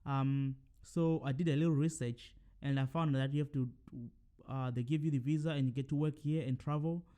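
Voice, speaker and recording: Mellow-voiced young man rambling on his podcast. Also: medium pitch, fairly even intonation, brisk, clear, resonant tone. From Zimbabwe. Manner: rambling, many fillers and self-corrections. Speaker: podcast host. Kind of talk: casual solo monologue.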